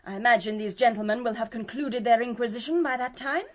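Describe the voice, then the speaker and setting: A woman playing a haughty, prim young English gentlewoman in a radio mystery, with formal, pompous, dismissive phrasing. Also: fairly high pitch, fast, heavy background noise, close to an everyday voice. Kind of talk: 1920s country-house radio mystery acting.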